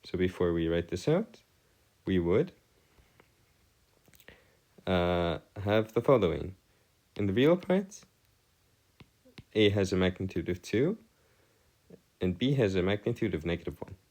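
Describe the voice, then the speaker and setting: Male tutor, low register, very varied intonation, formal and halting, explaining as he works. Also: big pitch swings, a little mellow. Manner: formal wording, halting, self-correcting. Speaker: math tutor. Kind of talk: improvised explanatory lesson at a digital whiteboard.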